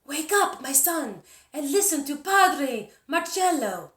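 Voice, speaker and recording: A woman's voice: high-pitched, big pitch swings, slow, fairly bright tone, reverberant, recorded from a distance, pitched above the performer's own voice, heavily acted. A woman voicing a firm but loving Italian mother in a storybook, coaxing and emphatic.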